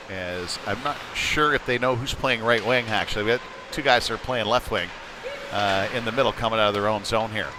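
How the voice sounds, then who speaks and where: An American man giving casual, conversational live hockey play-by-play as a commentator, getting excitable when play heats up. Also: mid-range voice. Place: indoor ice rink. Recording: noisy indoor ice rink.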